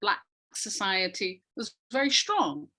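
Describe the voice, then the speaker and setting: An older woman gives a reflective interview at a slow pace. She chooses her words deliberately and leans on the important ones. Her pitch sits in the middle range for a woman. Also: big pitch swings, sharp tone. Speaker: guest, playwright and retired academic.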